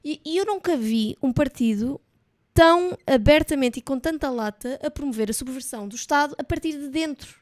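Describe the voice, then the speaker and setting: A woman's voice: high voice, a little fast. A woman with a European Portuguese accent co-hosting a casual political chat, emphatic and indignant, with hesitant fillers. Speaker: podcast co-host.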